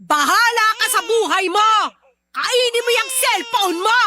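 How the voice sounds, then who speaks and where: A woman's voice: very high-pitched, measured pace, background noise. A woman playing a fed-up, pregnant young Filipino wife and mother in a radio drama, scolding in an exasperated, melodramatic way. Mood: stressed, bitter.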